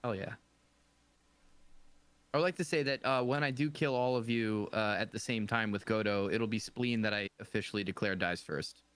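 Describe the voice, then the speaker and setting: A man's voice: on the low side, normal speed, fairly bright tone, smooth, no room sound. A man, chatting playfully and emphatically as he plays a card game. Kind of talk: casual banter during a card game.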